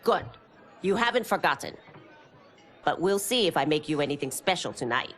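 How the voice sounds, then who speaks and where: An actress playing a stern but fond older mentor who keeps a restaurant, firm and teacherly, in a video game. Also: female voice, low voice, big pitch swings, normal speed, some chatter behind. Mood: stern, chiding. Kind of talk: video game cutscene acting.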